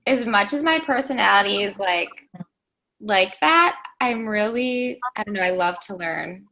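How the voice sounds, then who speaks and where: A woman in her twenties co-hosting a podcast, bubbly and upbeat, with a high voice, chatting casually. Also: unhurried, vocal fry, bright, forceful tone, slight background noise, low-quality recording. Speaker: podcast co-host. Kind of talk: casual chat.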